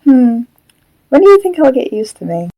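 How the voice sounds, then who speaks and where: A woman playing a gentle, troubled animatronic fox who was once human, speaking hesitantly and reflectively in an audio drama. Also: high-pitched, big pitch swings, moderate pace, rough recording. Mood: lighter, friendly.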